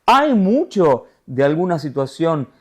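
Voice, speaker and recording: A middle-aged man from Argentina with an Argentine Spanish accent, a TV news anchor being interviewed, talking in a lively storytelling way. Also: fairly high pitch, unhurried. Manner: animated storyteller, voseo, acts out quotes. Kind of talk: conversational interview.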